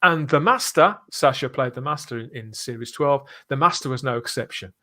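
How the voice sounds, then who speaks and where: A man hosting a live fan podcast, speaking in an earnest, emphatic way with deliberate phrasing. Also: mid-range voice, bright, forceful tone. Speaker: podcast host.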